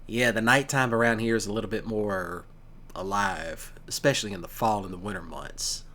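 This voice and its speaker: A man's voice: fairly low pitch, fairly bright tone. A man playing an easygoing, affectionate country boyfriend in an ASMR roleplay, chatting casually and teasingly with his sweetheart. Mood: wistful, intimate. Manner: casual, teasing, folksy, with pauses. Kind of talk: ASMR boyfriend roleplay, one-sided conversation.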